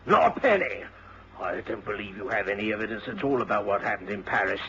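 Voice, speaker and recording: An actor playing a hot-tempered, defiant old father in a radio drama, with a gravelly voice and a blustering, emphatic manner. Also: fairly low pitch, expressive, fast, a bit bright. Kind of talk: British radio drama acting. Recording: muffled, noisy old radio recording.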